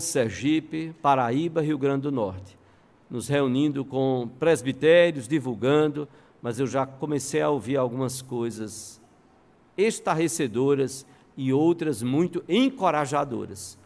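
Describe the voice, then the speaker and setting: Casting: Brazilian man, church pastor, mid-pitched and fry-edged, emphatic storyteller who drives points home by deliberate repetition. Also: a little mellow. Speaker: pastor preaching. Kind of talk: sermon with storytelling and quoted dialogue. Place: church service before a congregation.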